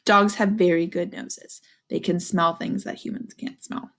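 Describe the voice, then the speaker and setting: A woman's voice: lowish voice, fairly even intonation, measured pace. A woman reading a storybook aloud to young children, expressively, with asides to her listeners. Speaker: storybook reader.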